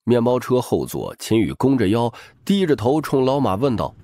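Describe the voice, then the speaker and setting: A man's voice: mid-range voice, sing-song, moderate pace, dark tone. A man narrating a novel as a dramatic scripted audiobook.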